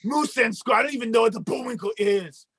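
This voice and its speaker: A man voicing a boastful mustached male puppet who shouts and brags like a cartoon villain, with a gravelly, husky voice. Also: very high-pitched, fast talker, dry recording, clean studio sound, exaggerated voice. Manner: shouting, bragging, mock-villainous. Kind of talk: comedic puppet bit on a talk show.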